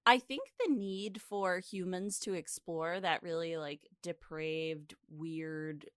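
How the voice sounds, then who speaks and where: A woman with a bright, slightly low voice co-hosts a casual podcast. She speaks slowly and reflectively, stretching words for emphasis, in a dry, close recording. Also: steady tone, dry recording. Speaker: podcast co-host. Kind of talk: casual, chatty podcast discussion.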